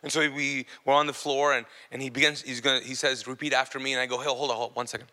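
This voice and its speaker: A man's voice: mid-range voice, little pitch movement, fast talker, pushed and bright. A man whose first language is Urdu tells a personal testimony to an audience in an informal, comic way, acting out dialogue and repeating phrases for emphasis. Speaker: speaker giving testimony to an audience. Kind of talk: animated storytelling sermon, acting out dialogue. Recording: long hall reverb, live stage.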